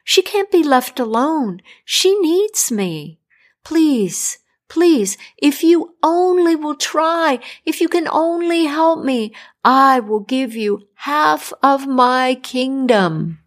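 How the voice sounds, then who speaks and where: A woman's voice: animated, slow pace. A desperate king, played by a woman storyteller, begging in a raised, strained voice. He repeats his pleas over and over and sounds close to tears. Told as a spoken fairy tale. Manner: high, pleading and tearful, repeating "please". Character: desperate old king, pleading for his daughter.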